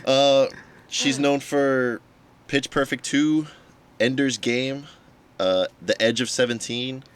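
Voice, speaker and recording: A young man in a casual podcast chat, laid-back and dryly joking, his voice a little raspy. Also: mid-range voice, steady tone, takes it slowly. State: slightly raspy voice.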